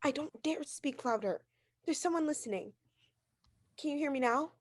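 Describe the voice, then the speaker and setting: A woman acts out a high-pitched, neurotic invalid wife in an old-time radio thriller. She is frightened and demanding, pressing every point in an insistent, overwrought way. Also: expressive, normal speed. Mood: terrified, desperate. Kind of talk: 1940s radio drama acting.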